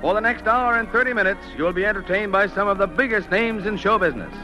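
A man's voice: high-pitched, fast talker, music in the background, low-quality recording. A man doing 1950s network radio announcing on a vintage broadcast.

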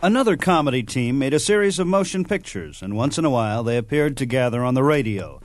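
Animated male radio program host. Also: mid-range voice, quick pace, heavy background noise. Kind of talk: scripted radio narration.

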